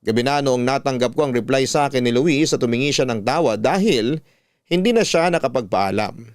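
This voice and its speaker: A man's voice: mid-range voice, fairly quick, no echo. Dry-sounding male story narrator. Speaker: host reading listener love stories. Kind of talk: scripted first-person story narration.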